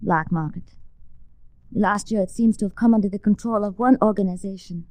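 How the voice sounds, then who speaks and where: A woman's voice: mid-range voice, mellow, no echo, lightly acted. Actress as a composed, formal young European woman. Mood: grave. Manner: formal, deliberate phrasing. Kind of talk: 1940s radio drama acting.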